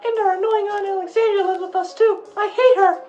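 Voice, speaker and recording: A woman's voice: extremely high pitch, steady tone, a little fast, warm tone, muffled recording. A woman playing a blunt, indignant nine-year-old girl in an acted radio interview. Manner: blunt, childlike, argumentative.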